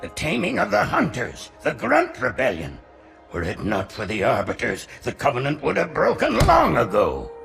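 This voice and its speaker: Creaky, swooping haughty alien prophet. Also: male voice, medium pitch, huge pitch swings, normal speed, a bit bright, faint music behind, exaggerated voice. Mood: pious, indignant. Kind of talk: cinematic science fiction game acting.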